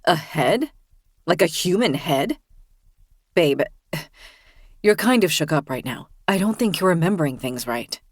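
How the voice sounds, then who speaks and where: Woman doing a dismissive young man, lowered placating voice, dry sound. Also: lively intonation, a little slow, pauses often, no room sound.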